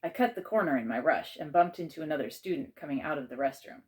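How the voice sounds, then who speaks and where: A woman reading a children's chapter book aloud in measured, expressive narration. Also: low-pitched.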